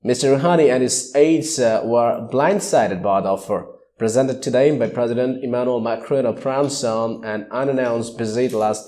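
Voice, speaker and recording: A man's voice: mid-range voice, a little mellow. A man reading scripted news narration as a news reader.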